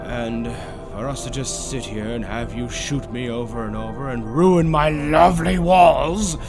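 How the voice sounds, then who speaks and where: A man's voice: medium pitch, a little slow, over music, close to an everyday voice. A man voicing a suave, theatrical demonic bird tempter in a roleplay audio, drawling with mockingly polite, condescending villainy. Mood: irritated, smug. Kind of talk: scripted character roleplay audio.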